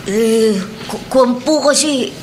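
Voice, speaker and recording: A woman's voice: on the high side, slow, a little mellow, a little hiss or hum. A woman playing a timid, polite street boy with a hesitant stammer in a Filipino radio drama. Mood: nervous, polite.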